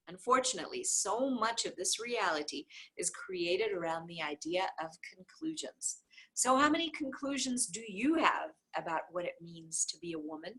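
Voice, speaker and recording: Female book reader, informal and emphatic, lively intonation, breathy-husky tone. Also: on the low side, poor audio. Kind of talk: book read aloud, informal.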